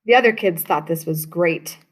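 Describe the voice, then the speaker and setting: A woman teacher reading a children's picture book aloud to her class, telling it with expression. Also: fairly low pitch. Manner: slow, expressive storytelling.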